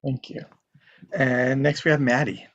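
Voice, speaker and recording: A host with a medium-pitched voice moderates a group in an easy, casual way. Short acknowledgments lead into introductions. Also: little pitch movement, unhurried, muffled recording, a little hiss or hum.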